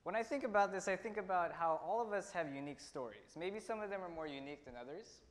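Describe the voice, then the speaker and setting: A teenage man from India tells a personal story in an earnest way, pausing and restarting as he goes. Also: high voice. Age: teens. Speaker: student speaker. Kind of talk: prepared personal talk. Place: auditorium stage. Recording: long hall reverb.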